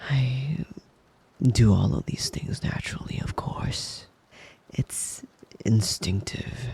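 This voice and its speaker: A man playing a devoted, possessive young wolf-boy lover in an intimate ASMR roleplay, tender and breathy, often slipping into a whisper. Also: fairly low pitch, darkish tone, exaggerated voice. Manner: tender, doting, slow and lingering with pet names. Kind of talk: intimate ASMR roleplay, soothing bedtime murmur.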